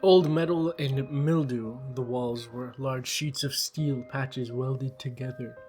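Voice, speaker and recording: A man's voice: mid-range voice, fairly even intonation, slow pace, a little mellow, soft background music. A man co-narrating an atmospheric scripted fiction podcast.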